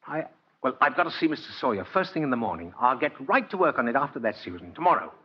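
An older male actor playing a kindly, stubborn old Santa Claus in 1940s radio drama, with a husky voice and a genial, hesitant manner. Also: mid-range voice, sing-song, fast, slight background noise, natural voice. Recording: dull vintage 1940s radio recording.

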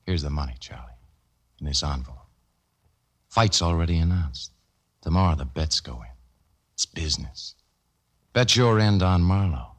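An American actor playing a cold, domineering boxing promoter in a 1950s radio drama, blunt and hard-boiled, with a husky voice. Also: male voice, a bass voice, lively intonation, normal speed, pauses often. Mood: cold, businesslike. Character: cold, controlling crooked boxing promoter, a man. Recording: vintage 1950s radio recording.

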